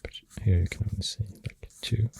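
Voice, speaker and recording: A soft-spoken ASMR host with a Swedish accent, rambling gently through facts in a hushed, breathy, whispery voice with unhurried pauses, close to the microphone. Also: medium pitch, steady tone, dry recording. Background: pencil writing and clicking sounds.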